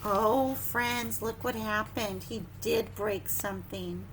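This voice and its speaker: A woman teacher doing an animated story time for young children, sing-song and exclaiming, often putting questions to them. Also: medium pitch, slow, slight background noise, poor audio.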